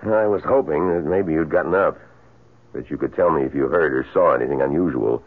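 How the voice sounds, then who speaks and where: A courteous police sergeant in a radio play, with an extremely deep, husky voice. He is polite and methodical, heard through tinny vintage broadcast sound. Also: fairly quick. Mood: polite, probing. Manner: polite, hesitant, matter-of-fact. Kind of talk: old-time radio drama acting. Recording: muffled, lo-fi old radio broadcast.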